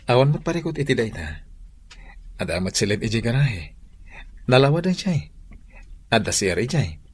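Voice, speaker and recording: An actor with a Filipino accent playing an agreeable, reassuring newlywed husband in a radio drama. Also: male voice, medium pitch, sing-song, normal speed, husky. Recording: faint music under the voice.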